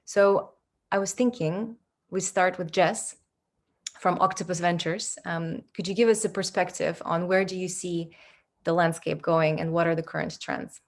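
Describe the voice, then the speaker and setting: Woman, panel moderator, upbeat, slightly low voice. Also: fairly even intonation, moderate pace. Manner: enthusiastic, friendly.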